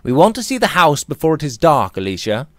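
Man acting a genteel, high-voiced young gentleman. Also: big pitch swings, a little fast, no echo, clean studio sound. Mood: persuasive. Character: languid young gentleman barrister.

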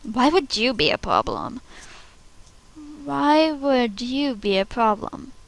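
A woman playing an outspoken schoolgirl from an animated series in short, dramatic readings. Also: fairly high pitch, slow pace, gravelly, no room sound, full character voice. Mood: casual.

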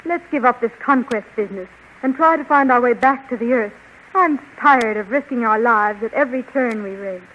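An actress playing a weary, anxious young American heroine in a 1930s radio serial, plaintive and melodramatic. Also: female voice, a little high, moderate pace, natural voice. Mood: worried. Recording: noisy, dull 1930s radio recording.

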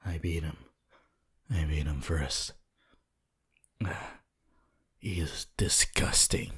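A man voicing a cold, cocky, brooding teenage boy hero in an ASMR anime roleplay, in a husky, breathy murmur. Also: steady tone, fairly bright tone, heavily acted. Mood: smug, resentful. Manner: slow, low murmur, often dropping to a whisper.

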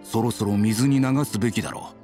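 A man voicing a gentle, reflective elderly father in an anime game, speaking in a measured, wistful way. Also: fairly low pitch, soft background music, lightly acted.

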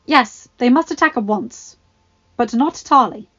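A spoiled, tyrannical young king barks his orders in a raised, pushy voice, as a woman puts him on. His demands come out shrill and loud. The sound is a touch dull and lo-fi. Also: a little slow, slightly rough. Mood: scornful.

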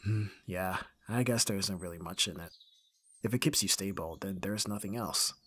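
Man playing a husky, gruff but caring roommate. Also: sits low, moderate pace, fairly bright tone. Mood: relaxed, caring. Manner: casual, dry, grumbling. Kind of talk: ASMR audio roleplay acting.

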